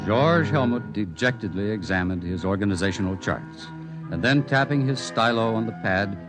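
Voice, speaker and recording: A man narrating a vintage radio science fiction drama in a measured, scripted storytelling manner. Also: on the low side, expressive, natural voice. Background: clear music.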